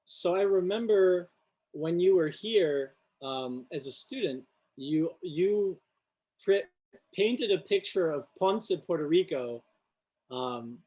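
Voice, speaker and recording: A man's voice: sits high, animated, slow, mellow. A middle-aged man hosting an alumni interview on a video call, friendly and hesitant with many fillers. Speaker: host, architecture professor. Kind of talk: interview host.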